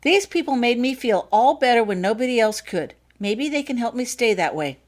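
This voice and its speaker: A woman's voice: on the high side, lively intonation, normal speed, voice pushed up, natural voice. Woman voicing an eager little girl, piping. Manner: childlike, piping, plain-spoken. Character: eager, hungry little schoolgirl. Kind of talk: acted fanfiction audiobook dialogue.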